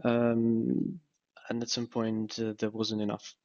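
A man's voice: mid-range voice, monotone, slow, gravelly, darkish tone. A man from Ukraine, a startup founder interviewed as a guest, speaking casually and hesitantly with many fillers and restarts. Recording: dull lo-fi podcast audio.

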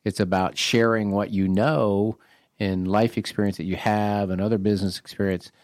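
Guy hosting an interview, deep-ish voice, dead dry sound, lots of yeahs and mm-hmms. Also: fairly even intonation, normal speed, a little mellow, no room sound. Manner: encouraging, lots of short affirmations. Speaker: podcast host, real estate broker. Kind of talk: conversational interview.

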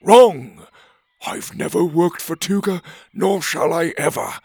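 Guy doing a cranky old blind lizard, snarly and proud, raspy, pitched up. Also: takes it slowly, darkish tone, no echo, heavily acted. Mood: indignant. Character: grumpy old blind male lizard creature.

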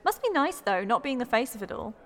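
An actress playing a catty, gossipy village party supporter in a radio play. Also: female voice, a little high, very animated, brisk, a bit bright, big-room sound. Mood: fawning, pointed. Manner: gossipy, insinuating.

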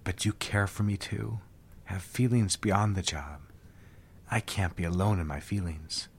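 An actor playing an earnest, formal businessman in an audio drama, a man with a husky voice. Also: low voice, steady tone, measured pace, slight background noise. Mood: earnest, pleading. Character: businessman courting his secretary, earnest, turning curt when rebuffed. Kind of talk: dramatic audio fiction phone dialogue.

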